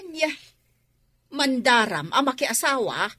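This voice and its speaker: A woman's voice: high-pitched, big pitch swings, slow pace, pushed and bright, full character voice. A woman playing a skeptical, scolding mother in a radio drama, with a reproachful, questioning manner.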